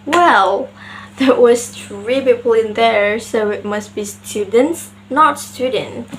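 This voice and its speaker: A young woman with an Indonesian accent, a native Indonesian speaker, explaining her pronunciation mistakes slowly as she searches for words. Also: fairly high pitch, slight background noise. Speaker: student. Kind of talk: class presentation on pronunciation.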